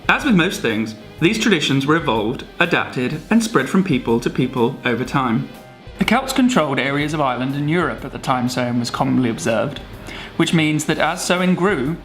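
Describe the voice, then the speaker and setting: A man's voice: a little high, lively intonation, fairly quick, fairly bright tone, faint music behind. A man co-presenting a scripted explainer with comic banter, in a jokey, theatrical manner. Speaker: video co-presenter.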